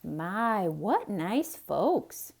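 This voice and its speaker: A woman's voice: medium pitch, big pitch swings, drawn-out words, vocal fry, darkish tone, husky, exaggerated voice. A woman voicing a cheerful, naive, literal-minded housemaid in a storybook, folksy and full of wonder. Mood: delighted. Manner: wondering, folksy, self-assured.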